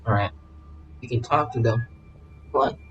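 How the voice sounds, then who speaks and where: A man casually commentating on a livestreamed game and chatting with viewers in halting, offhand remarks. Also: medium pitch, lo-fi sound. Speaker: game streamer. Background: faint game music.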